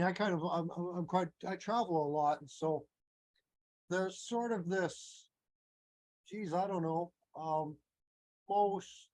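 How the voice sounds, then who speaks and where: A man's voice: on the high side, a little mellow, poor audio. A man with a Canadian accent giving a casual, meandering talk, thinking aloud with many fillers and restarts. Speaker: astrology teacher.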